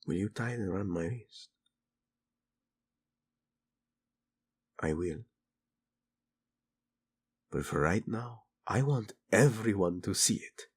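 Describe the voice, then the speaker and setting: A man playing a doting, teasing tyrant prince in a romantic audio roleplay, flirtatious and tender with a husky delivery. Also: low voice, full character voice. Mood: grateful, earnest.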